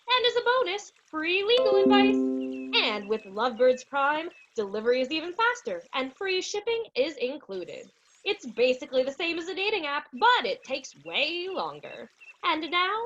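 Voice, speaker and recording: A woman's voice: high-pitched, a bit bright, lo-fi sound. A young woman announcing and narrating a scripted radio play in old-time 1940s radio style. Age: young adult.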